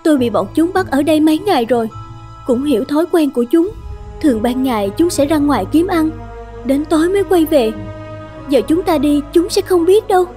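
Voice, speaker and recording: A woman voicing a gentle, wary young noblewoman held captive in a fairy-tale cartoon, polite and anxious. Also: sits high, lively intonation, brisk, faint music behind. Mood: wary, grateful.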